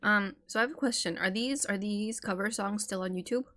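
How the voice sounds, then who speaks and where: A woman co-hosting a podcast in a relaxed, casual way, telling anecdotes and asking questions. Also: medium pitch. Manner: relaxed, tells anecdotes, quick interjections. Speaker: podcast co-host and streamer.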